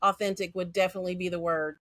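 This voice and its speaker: A woman's voice: fairly low pitch, fairly even intonation, quick pace, a bit bright, no echo. A woman from Central Texas with a Texan accent, a guest talking frankly and confidently in a casual interview. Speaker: guest, educator.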